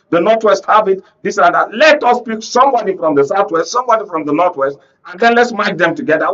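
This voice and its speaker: A man's voice: sits high, a bit bright. A man with a Nigerian accent speaking emphatically as a guest analyst on a political panel, often posing rhetorical questions. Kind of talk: live panel discussion, political commentary. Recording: dull lo-fi broadcast audio.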